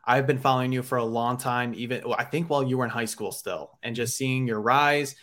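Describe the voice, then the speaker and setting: A man's voice: medium pitch, brisk, fairly bright tone. A man hosting a casual sports podcast, friendly and easygoing. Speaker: podcast host.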